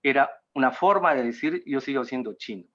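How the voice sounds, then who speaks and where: A mature man with a Peruvian accent gives an informal talk in a halting way, often tagging phrases with a question seeking agreement. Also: mid-range voice, animated, pushed and bright, muffled recording. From Peru. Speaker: guest speaker at a cultural talk. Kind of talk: informal lecture in an online talk.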